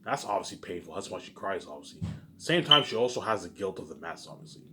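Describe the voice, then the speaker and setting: A man's voice: low-pitched, very animated, fast talker, pushed and bright. A man rambling casually and repetitively to his audience, swearing often, with emphatic bursts that trail off into muttered tags. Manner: frequent swearing. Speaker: vlogger. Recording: clearly noisy background.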